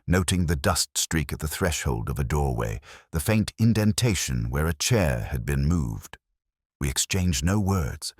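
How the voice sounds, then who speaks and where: A man reading a scripted, literary first-person detective narration in a measured, contemplative and formal manner. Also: extremely low pitch, no echo, studio quality.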